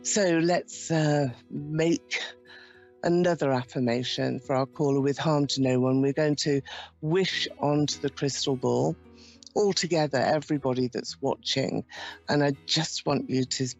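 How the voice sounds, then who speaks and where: A woman psychic host leading a slow, gentle crystal-ball ritual for her viewers. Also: low voice, steady tone. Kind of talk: soothing guided ritual talk. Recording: dull lo-fi sound with faint music.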